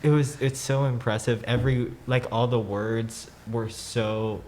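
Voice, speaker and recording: A man's voice: mid-range voice, fairly even intonation, measured pace, darkish tone, a little hiss or hum. A teenage male student chatting casually on a panel, a bit hesitant, often saying "I mean" and "like". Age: teens. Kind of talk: casual chat.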